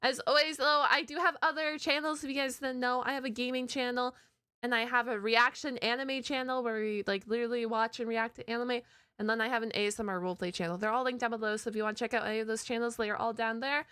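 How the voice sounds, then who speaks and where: Bright, clear-voiced woman chatting casually. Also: fairly high pitch, fairly even intonation, fast talker, no room sound, studio quality. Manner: rambling, informal, peppered with slang. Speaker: reaction video host. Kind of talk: casual chatty reaction commentary.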